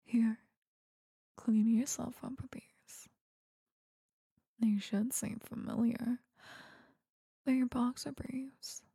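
A woman whispering a commanding audio roleplay as a domineering female teacher, in a breathy voice. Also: fairly high pitch, steady tone, slow pace, pauses often, mellow, dry recording, studio quality.

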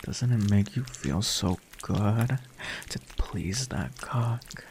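A man performing a whispered erotic roleplay monologue in a dominant, husky manner with moans. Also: fairly low pitch, slow, a little mellow, slight background noise.

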